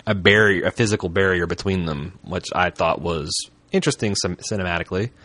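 A man's voice: deep voice, brisk, fairly bright tone, dry recording. A man co-hosting a podcast, animatedly picking apart a TV episode with analytical remarks and casual swearing. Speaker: podcast co-host.

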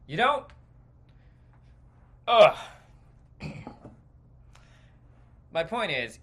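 Animated, sarcastic man ranting. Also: fairly high pitch. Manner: exasperated, sarcastic, swears casually. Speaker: podcast host. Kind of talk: stream-of-consciousness opinion rant.

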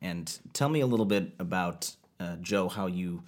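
A man's voice: deep voice, moderate pace. A man hosting a music show from a living room studio, introducing a band and chatting with them easily. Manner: easygoing, chatty, warm greetings and laughs. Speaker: radio show host. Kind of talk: show intro and casual interview.

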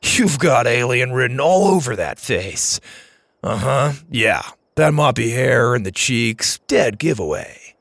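A man voicing a skinny, geeky adult sci-fi fan, casual, chummy and easily excited. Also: medium pitch, measured pace, vocal fry, no echo, studio quality, heavily acted.

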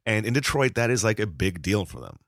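A man's voice: lowish voice, very animated, brisk, no room sound, clean studio sound. A middle-aged man from Argentina, a touring musician, chatting casually as a podcast guest, swearing freely and restarting his sentences. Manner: laid-back, swears freely, rambling restarts.

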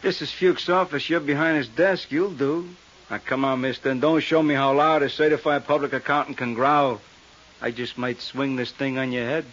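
A man's voice: mid-range voice, fairly even intonation, normal speed, fairly bright tone, lightly acted. An actor playing a thin, swaggering young henchman with slangy talk in a 1950s radio crime drama. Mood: cocky, menacing. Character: thin, tough-talking young hoodlum, cocky but easily cowed. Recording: hissy, muffled 1950s radio recording.

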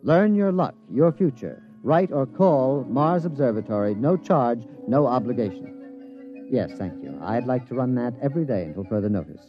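An actor playing an earnest, bookish scientist with formal, precise diction in an old-time radio comedy. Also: male voice, mid-range voice, expressive, moderate pace, over music, close to an everyday voice. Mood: eager. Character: earnest, bookish male scientist, baffled but stubbornly enthusiastic gambler. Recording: muffled vintage radio recording.

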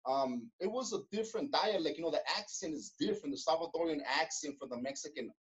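A man telling his story as an interview guest, in a relaxed way full of street slang, fillers and restarts. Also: a little high, fast talker, highs cut off. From Los Angeles. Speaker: interview guest, former gang member.

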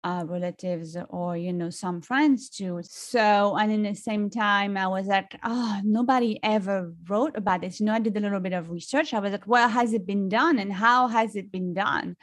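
A woman's voice: medium pitch, moderate pace, few pauses, no room sound, studio quality. A middle-aged woman with a French accent, chatting as a songwriter guest in an interview, with many fillers and restarts. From France. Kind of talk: casual interview chat.

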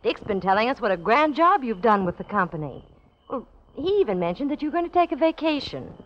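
A woman's voice: on the high side, big pitch swings, fast. A tender sweetheart in a vintage radio drama, genteel and coaxing. Her melody rises and dips freely. The old recording sounds dull and lo-fi. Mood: concerned. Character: devoted, coaxing young woman in love.

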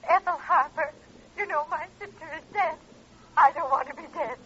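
A woman's voice: sits high, moderate pace, pushed and bright, a little hiss or hum. An actress playing a frightened, hysterical woman pleading for help over the telephone in an old radio drama. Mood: terrified pleading. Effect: telephone filter. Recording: dull old radio recording, telephone line.

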